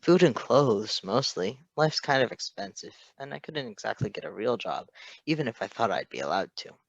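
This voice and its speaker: A teenage boy voiced by a man from a superhero story, guarded and hesitant. He speaks haltingly and plays down his hardship, pitched a little above an adult man's talk on a close, slightly dull recording. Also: sing-song, dry recording. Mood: flat, guarded.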